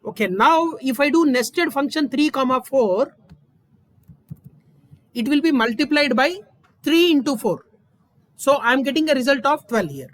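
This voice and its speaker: A woman's voice: high voice, expressive, unhurried, pauses often, dry recording. A woman teaching a recorded programming lesson, explaining each step and often checking in with "right?". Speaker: instructor. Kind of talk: screen-recorded coding lesson.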